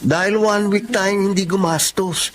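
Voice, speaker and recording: A woman's voice: medium pitch, steady tone, measured pace, slight background noise, close to an everyday voice. A woman acting a sly, mocking teenage student in a Filipino radio drama, teasing and persuasive, with a Filipino accent. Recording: music behind the dialogue.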